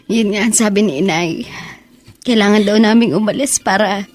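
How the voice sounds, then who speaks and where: A woman's voice: medium pitch, moderate pace, darkish tone, faint music behind, natural voice. A woman playing a sorrowful, wounded young woman, plaintive and earnest, in a Filipino radio drama. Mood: subdued.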